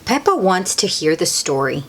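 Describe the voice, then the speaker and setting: A woman's voice: lowish voice, very animated, normal speed, slight background noise. A woman reading a picture book aloud to young children, exclaiming and adding encouraging asides addressed straight to them.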